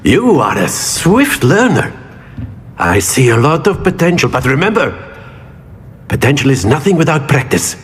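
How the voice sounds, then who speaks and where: A theatrical, high-pitched jovial professor. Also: male voice, very animated, long reverb tail, a little hiss or hum. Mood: encouraging, playful. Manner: theatrical, wordy, with drawn-out prompting hums. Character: jovial older male magic-school professor, theatrical and teasing. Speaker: charms teacher. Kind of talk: video game voice acting.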